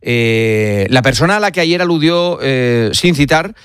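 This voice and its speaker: A man with an accent from Spain hosting a live sports radio show, speaking deliberately with hesitant pauses into a studio microphone. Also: mid-range voice, lively intonation, a bit bright, smooth, dry recording. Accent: Spanish from Spain. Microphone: studio broadcast microphone.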